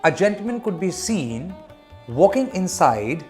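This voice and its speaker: Male host from Kolkata, unhurried and emphatic, rather high voice, music bed. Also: very animated, a little mellow. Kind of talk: slow, deliberate commentary.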